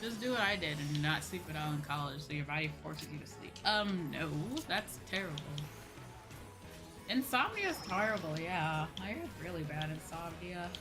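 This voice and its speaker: A woman's voice: sits low, moderate pace. A young woman chatting casually and playfully with viewers on a livestream as a game streamer. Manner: animated, playful, conversational. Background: music.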